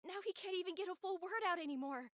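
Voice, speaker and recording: A woman's voice: very high-pitched, fast talker, fairly bright tone, no echo, studio quality. A woman voicing an anxious, earnest young girl, a devoted daughter, in a video game. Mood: alarmed, worried. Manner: earnest, worried delivery.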